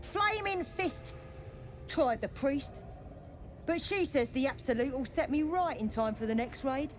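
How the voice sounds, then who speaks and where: A coarse female goblin raider from a fantasy game, high in pitch and unhurried. She brags in slangy speech with a rough swagger, over faint music. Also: lively intonation. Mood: boastful. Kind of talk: video game character acting.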